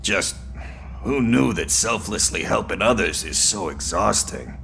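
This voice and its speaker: A cocky, weary cop sidekick for a video game. The voice is creaky and bright, with wry, self-satisfied delivery and faint noise behind. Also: male voice, on the low side, expressive, a little slow, exaggerated voice. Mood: weary, joking. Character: cocky, wisecracking but weary male rookie cop partner. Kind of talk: video game cutscene acting.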